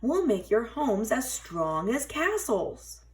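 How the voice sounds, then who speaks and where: Woman voicing a gentle, expressive storybook badger. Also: on the high side, slow pace, a little hiss or hum, voice pushed up. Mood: reassuring. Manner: gentle, comforting. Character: kindly, reassuring male badger, gentle homebody. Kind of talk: children's storybook read-aloud.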